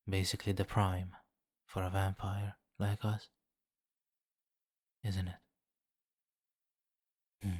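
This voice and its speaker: Man acting as ancient vampire king, very low voice, unhurried, courtly, softly imperious, menacing, fantasy ASMR roleplay. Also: no room sound, studio quality. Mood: brooding, stern. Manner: formal, deliberate, softly imperious. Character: suave, commanding centuries-old male vampire king, courtly and menacing.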